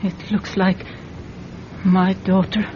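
A woman acts out an earnest, anxious immigrant mother in an old radio drama. She sounds wary and pleading, with a medium pitch, on a dull recording with light noise. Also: level tone, takes it slowly, close to an everyday voice. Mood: shaken, pleading. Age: adult.

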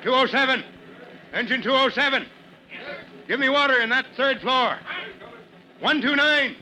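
An actor playing a gruff, commanding fire chief who gives brisk, clipped orders in old-time radio drama style. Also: male voice, extremely high pitch, bright, forceful tone, heavily acted. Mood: urgent shouting. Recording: dull old radio recording, faint noise.

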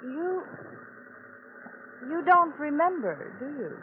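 Shrewd high-voiced radio drama bride. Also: female voice, sing-song, slow pace, heavily acted. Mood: breezy. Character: shrewd, coolly determined new bride in crime drama. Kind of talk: 1940s-50s radio drama acting. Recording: phone-like vintage radio, faint noise.

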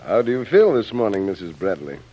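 An actor playing a suspicious island boss in a vintage radio drama, questioning with smooth courtesy and a probing edge. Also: male voice, fairly low pitch, big pitch swings, fairly quick. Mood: courteous, probing. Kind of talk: 1940s radio acting. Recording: muffled vintage radio recording.

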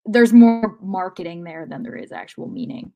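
Measured, analytical woman on a sports podcast. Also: fairly low pitch, muffled recording. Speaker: soccer podcast co-host.

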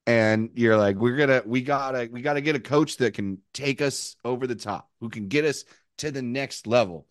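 A man with a hoarse, worn voice, co-hosting a casual sports podcast and needling his friend. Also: mid-range voice, normal speed, no room sound, studio quality. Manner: teasing, needling his co-host. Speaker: podcast co-host.

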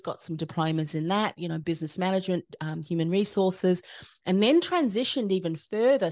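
Australian woman, middle-aged, lowish voice, dry close sound, reflective storytelling. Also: no room sound. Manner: reflective storytelling, steady with soft fillers. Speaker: podcast host, interview guest. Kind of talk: casual conversational interview.

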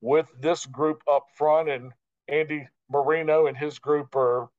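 A man, a sports broadcaster, giving measured, explanatory answers as a guest in an interview. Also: a little high, fairly even intonation. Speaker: guest radio play-by-play broadcaster. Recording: dull lo-fi line.

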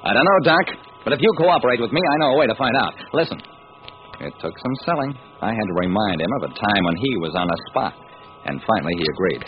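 A noisy, muffled old radio recording carries an actor as a hard-boiled male detective. He tells his crime story in dramatic, clipped phrases, with an animated rise and fall. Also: lowish voice, fast, natural voice. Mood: scheming. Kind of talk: 1940s radio acting, first-person crime narration.

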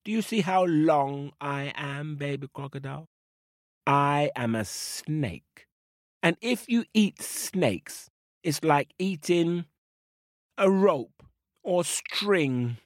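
A man voicing a wise male snake who talks in a slow, deliberate, lecturing way in a spoken storybook. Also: on the high side, animated, no room sound, clean studio sound, pitched above the performer's own voice.

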